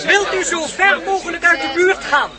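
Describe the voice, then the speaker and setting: An actor in a radio play playing a calm, proud alien space traveller who speaks formally and courteously. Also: male voice, very high voice, normal speed, over a noisy crowd. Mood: confident. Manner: formal, matter-of-fact, courteous. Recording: noisy old radio play recording.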